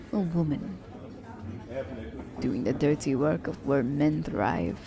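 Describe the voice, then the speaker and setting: Casting: actress as confident female assassin for ASMR romance, deep and unhurried, halting, teasing delivery. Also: gravelly, exaggerated voice. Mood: wry, candid. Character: confident, self-declared selfish young woman assassin, teasing her captive. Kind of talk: ASMR romance roleplay acting.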